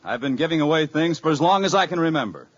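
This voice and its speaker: A man's voice: a little high, lively intonation, quick pace. A grumpy Santa Claus in old radio comedy. He is stubborn and grumbles curtly, his intonation swinging widely. The recording is muffled and dated. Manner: curt, grumbling. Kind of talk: 1940s radio comedy acting.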